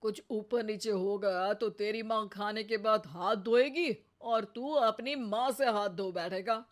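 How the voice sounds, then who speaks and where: A woman acts out an elderly father-in-law in a comic family cartoon. He talks slowly in a wry, teasing way. His delivery is sarcastic and mocking. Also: medium pitch, lightly acted.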